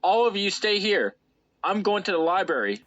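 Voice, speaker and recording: A man playing a brisk party guest in a fan audio murder mystery. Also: sits high, very animated, fairly bright tone, muffled recording, slight background noise. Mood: decisive. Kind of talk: fan audio drama acting.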